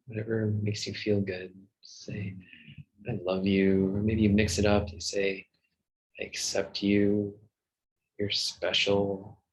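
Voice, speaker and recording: Male meditation guide: low, very unhurried, gentle delivery with soothing pauses. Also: low-quality recording. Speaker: meditation teacher.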